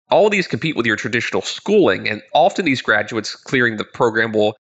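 A man's voice: fairly low pitch, vocal fry, bright, forceful tone. Congested, crackly-voiced male podcast host. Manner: upbeat, conversational, with frequent word repeats. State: congested, slightly nasal from illness. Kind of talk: solo podcast monologue.

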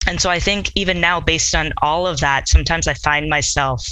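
A woman whose first language was Korean answers interview questions in a bright, clear voice. She talks reflectively and fills pauses with "um" and "like". The sound is close and dry, with no room echo. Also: low-pitched. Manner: reflective, many fillers and hedges. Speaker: law instructor, interviewee. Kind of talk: conversational interview.

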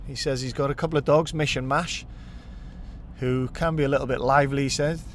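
A man's voice: medium pitch, steady tone, brisk, slight background noise. Chatty Welsh man talking from his car. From Wales. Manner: chatty and upbeat. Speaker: podcast host. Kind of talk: casual spoken intro while driving. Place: in a car on the road.

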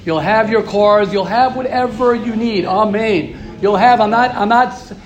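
High-voiced man giving an emphatic Torah talk. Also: unhurried, echoey room. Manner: emphatic, repetitive, rhetorical questions. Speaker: rabbi giving a class. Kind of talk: Torah lecture to a group. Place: room with a live audience.

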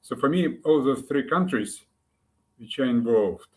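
An older man speaks English with an Eastern European accent, at a medium male pitch. He answers as an interview guest, reflective and hesitant, leaving long gaps as he forms his sentences. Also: lively intonation, darkish tone. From Ukraine. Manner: halting, hesitant delivery with long pauses.

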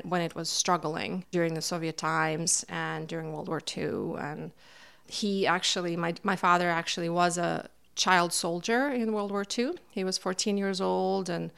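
A middle-aged woman from Kazakhstan tells her family's story in an interview. Her voice sits low and sounds smooth and clear. She talks in a reflective way and pauses on fillers as she thinks. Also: a bit bright. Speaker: interviewee, NGO founder. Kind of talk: personal recollection in an interview.

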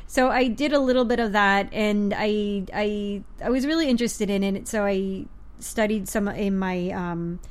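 Woman, casual conversational podcaster, filler-heavy, mid pitch. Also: moderate pace, smooth. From United States (Miami). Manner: chatty, many fillers and restarts. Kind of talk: casual chat.

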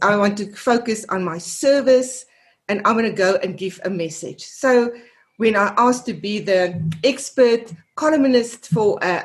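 A woman in her fifties from South Africa with a South African accent, Afrikaans as her first language, a therapist guest earnestly recounting her career in an interview. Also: mid-range voice. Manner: earnest, repeats words while searching for them. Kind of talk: reflective interview answers.

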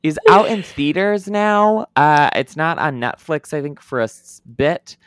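A man's voice: on the high side, animated, measured pace, a bit bright, smooth, no room sound, studio quality. A man co-hosting a podcast, giving a chatty, opinionated movie review full of fillers and restarts. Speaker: podcast co-host. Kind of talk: casual chat reviewing a movie.

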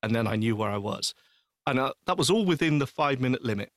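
A man telling an anecdote in casual chat, animated and emphatic, repeating phrases for effect. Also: medium pitch, fast, no echo, clean studio sound. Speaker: podcast co-host.

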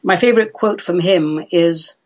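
A woman's voice: lowish voice, moderate pace, darkish tone, muffled recording. A woman from London with a London English accent hosting a chatty solo radio show in a playful, theatrical, droll manner. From London, England.

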